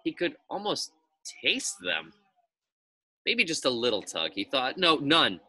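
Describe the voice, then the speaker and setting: A man narrating a children's story aloud in an expressive storytelling style. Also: medium pitch, a little slow.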